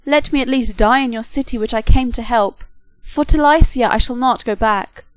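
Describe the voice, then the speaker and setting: A woman's voice: a little high, normal speed, no room sound, close to an everyday voice, low-quality recording. A woman reading the part of a proud male warrior prince who speaks with reproach in a declamatory way, in a storybook reading. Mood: pleading.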